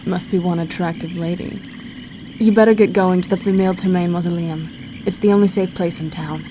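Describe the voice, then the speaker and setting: Tough game heroine female actor: slightly low voice, curt, sardonic, commanding, heavy background noise. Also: fairly even intonation, brisk, lightly acted. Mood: sardonic, brisk. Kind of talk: video game cutscene acting. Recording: very noisy game ambience behind.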